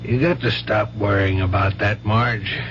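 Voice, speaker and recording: A man's voice: on the low side, fairly even intonation, unhurried, close to an everyday voice. An actor playing a firm, kindly, plain-spoken country family doctor in a 1970s radio drama, with folksy, fatherly emphasis. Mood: reassuring. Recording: muffled, noisy old radio broadcast with hum.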